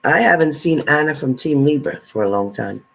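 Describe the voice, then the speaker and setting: A man with a somewhat high voice talks casually on a livestream. As a tarot reader, he greets and thanks viewers by name, gives friendly advice, and laughs often. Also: animated, moderate pace, vocal fry, a bit bright, slight background noise. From New Jersey.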